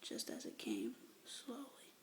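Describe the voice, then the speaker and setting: A young woman whispering love poems aloud with hesitant, rambling asides between them, breathy and soft, close to a phone or webcam mic. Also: takes it slowly, a little hiss or hum. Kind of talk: whispered poetry reading, ASMR-like.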